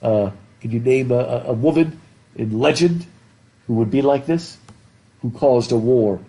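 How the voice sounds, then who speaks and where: Stuffy-nosed professor lecturing a class. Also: male voice, mid-range voice, measured pace, warm tone, low-quality recording. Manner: chatty, laughing, asks the class questions. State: stuffed up nose. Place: classroom with a blackboard. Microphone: laptop webcam.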